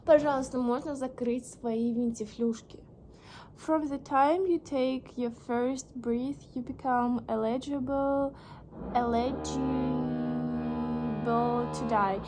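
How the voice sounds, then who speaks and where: A young woman whose first language is Russian, reading an English book aloud haltingly and stopping to puzzle over words. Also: high-pitched, fairly even intonation, over music. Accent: Russian, reading English with a slight American tinge. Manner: slow, halting reading with restarts and puzzled asides. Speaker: vlogger.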